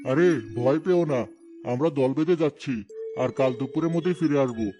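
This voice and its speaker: A man's voice: on the high side, fairly quick, music in the background, natural voice. A man playing a confident, reassuring village man in a cartoon fairy tale.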